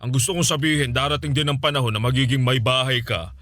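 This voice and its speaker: Actor as a sharp-voiced, domineering uncle. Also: male voice, mid-range voice, fairly even intonation, quick pace, no room sound, lightly acted. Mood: condescending. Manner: scolding, sneering. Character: domineering, scornful uncle, sexist and quick-tempered. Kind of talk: Filipino radio drama acting.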